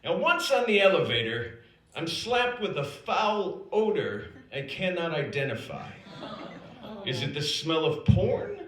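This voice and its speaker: An American man performs his own comic true story in an echoing live room. He takes his time, with dramatic pauses and lively swings in pitch. His delivery is wry and self-deprecating. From United States, South Dakota. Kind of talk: scripted comic personal narrative performed live. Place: indoor performance space at an acting institute. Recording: roomy, echoing live stage recording.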